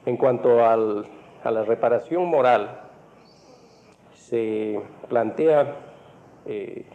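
A man with a Peruvian accent giving a formal, halting explanation as an interviewed economist. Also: medium pitch. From Peru. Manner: halting, hesitant, formal wording. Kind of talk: spoken explanation. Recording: muffled, noisy lo-fi interview recording.

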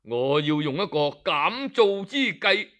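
A man voicing a shrewd, calm male military strategist in a traditional storytelling recording, speaking in a measured, deliberate way. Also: on the high side, voice pushed down. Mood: confiding.

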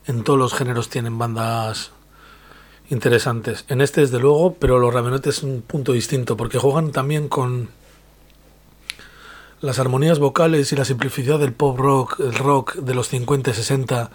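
A man's voice: mid-range voice, steady tone, normal speed, slightly rough. A man hosting a radio music show, talking casually about bands, rambling and tripping over band names.

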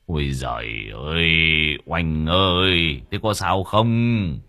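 A man's voice: deep voice, fairly bright tone, pitched below the performer's own voice. A man voicing an older village neighbor, fussy and coaxing. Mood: alarmed. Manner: fretful, coaxing, drawn-out pleading.

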